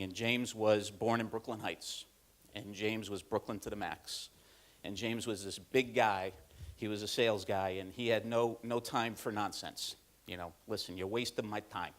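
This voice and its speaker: Low-voiced man giving a conference talk. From upstate New York. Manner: self-deprecating, anecdotal, frequent fillers and restarts. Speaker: corporate learning speaker. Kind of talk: conference presentation. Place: conference room.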